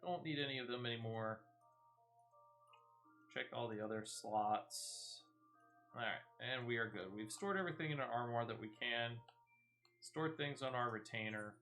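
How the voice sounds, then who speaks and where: Man, mid-pitched voice, casual streaming commentary, playful, soft game music behind. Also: normal speed, pauses often.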